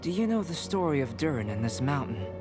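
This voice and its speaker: An actor voicing a calm, scholarly young male alchemist in a video game, speaking in a measured, formal, analytical way. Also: mid-range voice, music in the background, lightly acted. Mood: musing. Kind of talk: video game dialogue acting.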